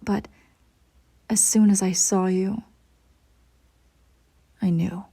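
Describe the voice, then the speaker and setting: A woman playing a centuries-old evil empress in an intimate audio roleplay, speaking formally and deliberately, cold and smugly possessive, sometimes dropping toward a whisper. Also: mid-range voice, fairly even intonation, warm tone, full character voice. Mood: confiding, imperious. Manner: formal, measured, arch diction with breathy near-whispered drops. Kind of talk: scripted audio roleplay monologue, intimate direct address.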